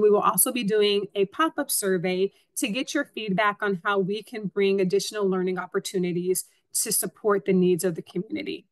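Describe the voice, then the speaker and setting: A woman's voice: mid-range voice, fairly bright tone, dry recording. A woman in her thirties moderating a webinar panel, polite and formal. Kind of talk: scripted moderation.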